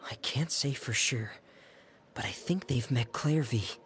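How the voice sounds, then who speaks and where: A man playing a quiet, troubled young confidant in a video game, speaking in a careful, hesitant, subdued manner. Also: mid-range voice, measured pace, faint music behind, lightly acted. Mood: worried.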